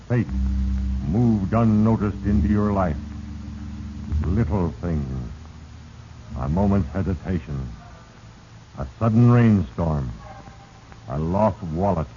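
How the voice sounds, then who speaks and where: A solemn, menacing spirit of destiny narrates an old radio drama. The delivery is very slow and dark, with ominous deliberation, over a faintly noisy vintage recording. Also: male voice, fairly low pitch, dull audio. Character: Fate, sinister solemn male spirit narrator manipulating mortals.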